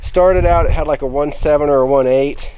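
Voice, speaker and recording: A man's voice: on the high side, a little fast, darkish tone, a little hiss or hum, rough recording. A man hosting a gear review, talking casually and thinking aloud as he rambles through a hands-on demonstration. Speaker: host of a radio gear review channel.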